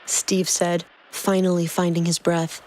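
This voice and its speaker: A woman's voice: fairly low pitch, level tone, unhurried, a little mellow, poor audio. A woman doing dramatic scripted narration of fan fiction as a podcast host. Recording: music clearly behind the voice.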